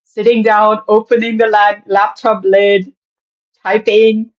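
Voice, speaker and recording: A woman from Germany with a German accent, speaking as an animated podcast guest who laughs often and lets her pitch swing widely. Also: slow pace, muffled recording. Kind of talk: casual interview talk.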